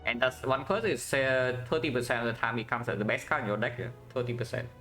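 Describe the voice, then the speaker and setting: Vietnamese-accented male streamer, mid pitch, casual running commentary, faint music bed. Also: quick pace, sharp tone. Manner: thinks aloud, tag questions "yeah?", chats with viewers. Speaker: game streamer.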